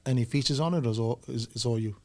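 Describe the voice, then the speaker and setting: Mid-pitched New man, casual host. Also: racing, darkish tone. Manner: slangy, conversational. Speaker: interview host. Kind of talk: casual chat.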